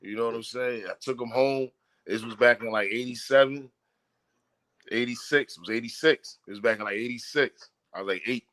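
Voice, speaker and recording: Man in his forties, bright forceful voice, emphatic affirming chat. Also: medium pitch, brisk. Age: 40s. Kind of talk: casual chat.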